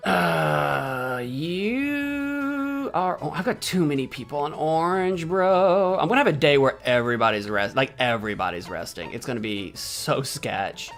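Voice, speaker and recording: A man's voice: a little high, animated, measured pace, a bit bright, faint music behind. An American man casually thinking aloud while playing a game on stream, rambling, correcting himself and bantering with chat in a mock-indignant way. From United States. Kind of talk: casual gameplay commentary.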